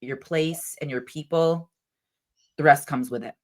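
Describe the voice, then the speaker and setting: Low-voiced, chatty woman host. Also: a little slow, darkish tone. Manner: chatty, enthusiastic, uses "like" a lot. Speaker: podcast host. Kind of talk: casual interview chat.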